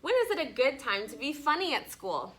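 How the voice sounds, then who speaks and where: Woman acting a firm, patient schoolteacher, bright voice. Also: high voice, sing-song, a little fast. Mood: questioning.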